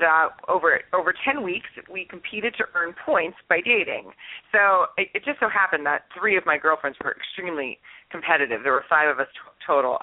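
A woman's voice: lowish voice, no room sound. Giggly woman on a phone line. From Los Angeles. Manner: bubbly, laughs often, acts out friends' lines. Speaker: dating expert guest. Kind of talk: casual radio interview. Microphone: phone call.

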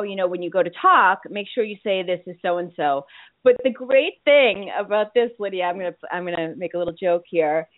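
A woman's voice: medium pitch, no echo. A talkative woman with a Boston accent hosts a call-in style radio chat, laughing easily and tripping over her own restarts. Her voice comes through a tinny phone line. The sound is dry and close, with no room around it. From Boston, USA. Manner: chatty, laughs often, many restarts. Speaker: radio show host. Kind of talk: casual chat.

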